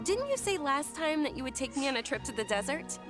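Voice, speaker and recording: A woman voicing a sheltered, gentle young noblewoman who pleads softly. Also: high voice, big pitch swings, quick pace, over music. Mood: pleading. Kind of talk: game voice acting.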